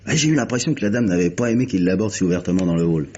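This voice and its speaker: Fast-talking, deep-voiced hotel clerk. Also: male voice, lively intonation, a little mellow. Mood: gossipy. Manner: folksy, hesitant, eager gossip. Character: chatty, slangy male hotel night clerk. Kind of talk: old radio mystery acting. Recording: muffled, hissy vintage radio recording.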